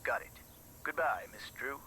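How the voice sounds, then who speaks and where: An actor playing a dry, pedantic map seller on a phone call in a video game. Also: male voice, mid-range voice, expressive, moderate pace, bright, forceful tone, slight background noise. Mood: dry, brisk. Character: fussy, matter-of-fact male map seller on the phone.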